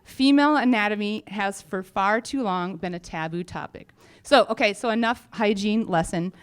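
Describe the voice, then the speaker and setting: A woman's voice: medium pitch, normal speed, smooth. A woman company founder giving an informal update on stage, speaking in a frank, humorous, chatty way. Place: on stage at a live event.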